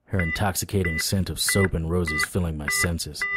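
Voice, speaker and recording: Guy acting a sarcastic noir PI, lowish voice, dry wisecracks, radio drama narration, noisy track. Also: steady tone, normal speed, close to an everyday voice. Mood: wry narration. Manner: wry, sarcastic. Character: wisecracking, hard-boiled male supernatural private investigator.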